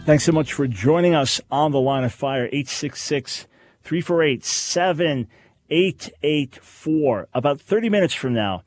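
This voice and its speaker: A man hosting a call-in radio show, talking in an animated, preacherly way and acting out quoted voices. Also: fairly high pitch, measured pace, soft background music. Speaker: radio host. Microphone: studio microphone.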